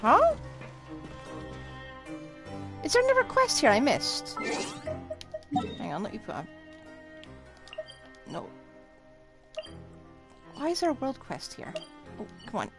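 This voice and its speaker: A woman's voice: sits high, wildly swooping pitch, slow pace, long pauses. A woman game streamer giving casual live commentary, thinking aloud as she plays. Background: game music.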